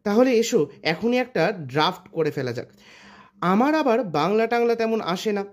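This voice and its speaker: A woman voicing a practical, anglicised gentleman friend in storybook dialogue, chatting with English words mixed in. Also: mid-range voice, animated, normal speed, close to an everyday voice. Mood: advising. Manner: conversational, mixes in English words.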